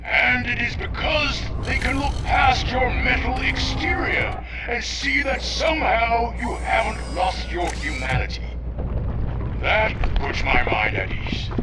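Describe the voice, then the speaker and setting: A man's voice: high-pitched, exaggerated voice. An actor playing an earnest, reflective man who delivers a formal, solemn monologue in a video game. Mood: solemn, hopeful.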